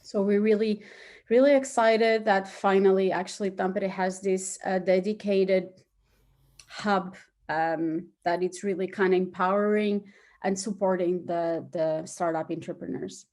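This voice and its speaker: A woman's voice: mid-range voice, steady tone, unhurried, a little mellow. A woman from Portugal, answering conversationally as an interview guest. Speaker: interview guest, start-up hub operations chief.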